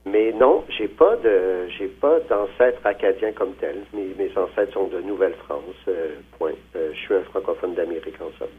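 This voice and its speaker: Invité masculin, voix grave et voilée, hésitant, ligne téléphonique grêle. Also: male voice, deep voice, normal speed, hardly any pauses, breathy edge. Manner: hesitant, frequent fillers and restarts. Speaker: novelist guest. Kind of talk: phone interview. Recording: tinny phone line.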